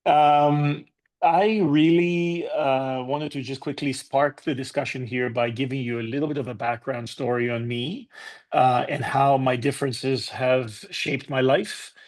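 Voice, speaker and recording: A man's voice: mid-range voice, fairly even intonation, a little slow, darkish tone. A man in his fifties from Greece with a Greek accent, giving a candid, conversational talk to an online conference audience and inviting debate. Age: 50s. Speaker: conference speaker.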